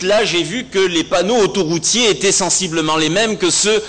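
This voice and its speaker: A man's voice: a little high, fairly bright tone. Echoey male preacher. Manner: rhetorical, emphatic, with deliberate repetition and pauses. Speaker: pastor. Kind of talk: sermon. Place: large reverberant hall, speaking to a congregation. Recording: echoey hall, long reverb, some background noise.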